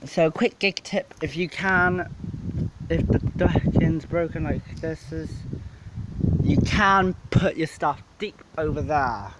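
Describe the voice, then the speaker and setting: A man giving a casual, unscripted equipment walkthrough as a DJ, rambling and halting, with informal, blunt wording. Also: a little high, very noisy. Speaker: DJ presenting his PA setup.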